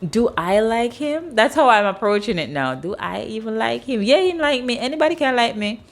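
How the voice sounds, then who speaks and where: A woman's voice: brisk, soft background music. A woman in her thirties with a Jamaican accent, hosting a casual chat and telling stories with acted-out dialogue. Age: 30s. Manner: animated storyteller, acts out dialogue in high voices. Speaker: host.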